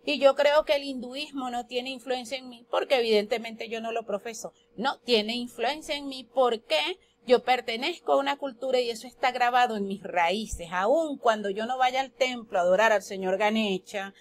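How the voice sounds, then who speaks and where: A woman's voice: a little high, normal speed. A woman from Colombia with a Colombian Spanish accent, giving a spoken lesson on astrology that poses questions and then answers them. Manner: explanatory, asks and answers her own questions. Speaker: astrology teacher.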